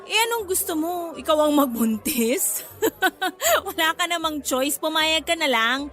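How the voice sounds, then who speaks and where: A woman's voice: sits high, expressive, measured pace, a bit bright. A woman acting a cheeky, manipulative young pregnant girlfriend in a Filipino radio drama, blunt and dramatic, with a Filipino accent. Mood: flippant, pushy.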